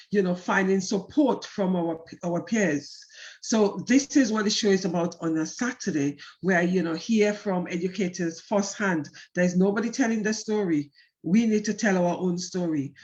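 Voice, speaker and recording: Woman host, welcoming, repeats herself for emphasis, muffled call sound. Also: mid-range voice. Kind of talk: hosting an online show.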